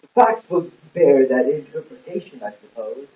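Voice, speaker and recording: A man playing a smooth, cold, scheming royal secretary in an amateur puppet-show play, speaking in a measured, formal way. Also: mid-range voice, very animated, natural voice. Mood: coolly insinuating. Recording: roomy, muffled, distant lo-fi recording.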